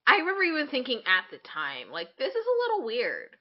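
A woman's voice: a little high, wildly swooping pitch, lo-fi sound. A woman in her twenties co-hosting a podcast, chatting casually and candidly with a friend and swapping anecdotes. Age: 20s. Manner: candid, informal, swearing, quick to laugh. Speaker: podcast co-host.

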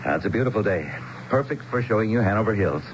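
A man acts as a genial small-town guide in a 1970s radio drama. His low, animated voice delivers folksy, clipped explanations, heard through a dull recording with heavy vehicle noise. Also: quick pace, lightly acted. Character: genial, knowing male small-town local guiding a newcomer.

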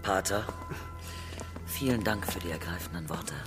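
A grieving young-hearted but insistent woman, speaks earnestly in a radio play. Her voice rings in a long church reverb, with music clearly behind it. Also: very deep voice, normal speed. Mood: subdued, polite.

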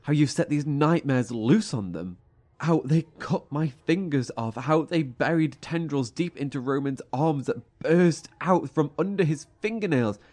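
Blunt, fed-up horror survivor. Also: male voice, fairly high pitch, animated, measured pace, a little mellow. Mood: bitter, angry. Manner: blunt, confrontational, sarcastic. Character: fiery, fed-up male survivor of nightmarish horrors. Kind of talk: horror audio drama acting.

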